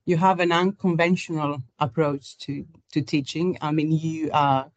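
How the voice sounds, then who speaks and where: Low-voiced woman interviewer, halting delivery. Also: little pitch movement, no room sound, muffled recording. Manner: hesitant, halting phrasing. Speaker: podcast interviewer. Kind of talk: conversational interview.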